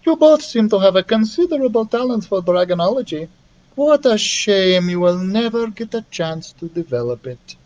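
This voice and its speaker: A man's voice: high-pitched, big pitch swings, moderate pace, a little mellow, muffled recording, voice pushed up. A man voicing a sinister woman scientist in a storybook, formally polite and menacing. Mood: coolly menacing. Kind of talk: storybook character voice.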